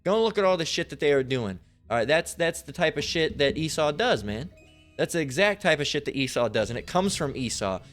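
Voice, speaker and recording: Casual, stumbling man with faint music. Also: fairly high pitch. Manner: loose and profane, stumbles over hard words; thinks aloud while searching online. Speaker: online religious commentator. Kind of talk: casual scripture reading and commentary.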